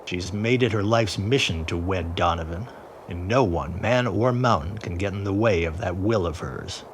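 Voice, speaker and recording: A man's voice: low-pitched, moderate pace, slight background noise. A man playing an exasperated, principled middle-aged father in a period audio drama. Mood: disgruntled.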